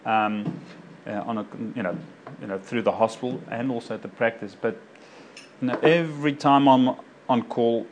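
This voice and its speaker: A man's voice: mid-range voice, normal speed, noisy background. Rambling man giving a talk. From South Africa. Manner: rambling, many fillers and self-corrections. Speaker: doctor speaking to a local community group. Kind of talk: informal personal talk to an audience.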